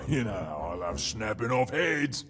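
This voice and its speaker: Low, creaky brutish orc warrior. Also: male voice, big pitch swings, normal speed, fairly bright tone. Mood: gleeful, smug. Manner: cocky, jeering, blunt. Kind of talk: video game character acting.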